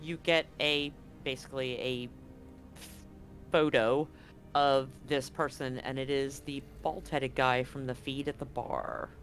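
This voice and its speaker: A man improvising narration as game master of a tabletop roleplaying game, often pausing to find his words. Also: medium pitch, flat delivery, fairly bright tone, faint music behind.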